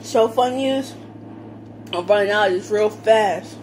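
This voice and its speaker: A woman's voice: medium pitch, measured pace, darkish tone. A young woman casually tasting food and commenting between bites, filmed close on a phone at a table indoors. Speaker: vlogger. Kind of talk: casual eating vlog chat. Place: small room indoors at a table. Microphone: phone camera close up. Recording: noisy home phone recording.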